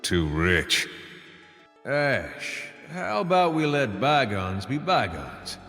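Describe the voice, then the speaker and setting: A man's voice: medium pitch, sing-song, slow, sharp tone, long reverb tail, faint music behind, exaggerated voice. An actor playing a laid-back, wry male cowboy gunslinger in a video game. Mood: coaxing. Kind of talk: video game character dialogue.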